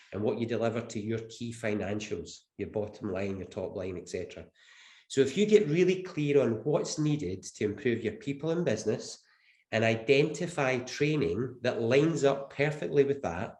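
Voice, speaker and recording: A man's voice: mid-range voice, a little mellow, roomy sound. A Scottish man with the flu speaking as a webinar panelist, earnest and emphatic, repeating his key phrases. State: has the flu. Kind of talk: webinar presentation.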